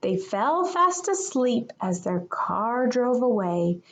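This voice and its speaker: A woman, a kindergarten teacher, reading a children's picture book aloud in an expressive manner for young listeners. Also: on the high side, slow, dull audio.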